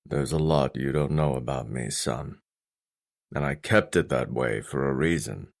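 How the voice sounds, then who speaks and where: A man voicing a stern, intimidating middle-aged father in an audiobook, speaking in a grave, restrained, deliberate way with a gruff love underneath. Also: exaggerated voice. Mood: cold, grave. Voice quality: deep, low, weighty voice.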